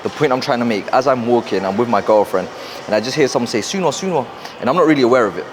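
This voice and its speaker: A young man with a London accent, talking fast and rambling to camera in a casual motivational vlog, wearing a clip-on mic in a gym. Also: medium pitch, lively intonation, background noise. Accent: London English. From South London, England. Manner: fast, rambling, repeats himself. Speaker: fitness and mindset coach. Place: busy gym.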